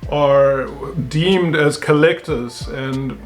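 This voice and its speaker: A man's voice: on the high side, drawn-out words, soft background music. A South African man in his thirties chatting casually about cars as a host. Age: 30s. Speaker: game show host and car YouTuber.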